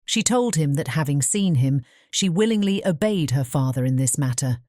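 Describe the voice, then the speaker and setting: A woman narrating a scripted fairy tale as an audiobook, in a measured storytelling manner. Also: deep voice, animated, mellow, no echo, studio quality.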